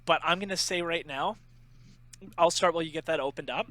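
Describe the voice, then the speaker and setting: Bright-voiced joking man. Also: a little high, brisk. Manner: joking, sarcastic, animated. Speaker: podcast co-host. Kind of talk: casual chat.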